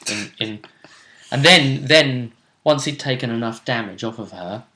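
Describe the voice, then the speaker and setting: A British man hosting a tabletop role-play vidcast, recapping a game casually in a halting way, repeating himself and trailing off. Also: medium pitch, bright, forceful tone. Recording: roomy, echoing sound.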